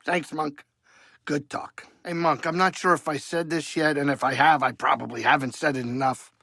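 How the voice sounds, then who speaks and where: An actor playing a cranky but soft-hearted older alien saloon keeper in a video game, gravelly, wisecracking and folksy. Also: male voice, on the high side, moderate pace, no room sound, clean studio sound, full character voice. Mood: warm, earnest. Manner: grumbling, wisecracking, excitable, folksy. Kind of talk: video game character dialogue.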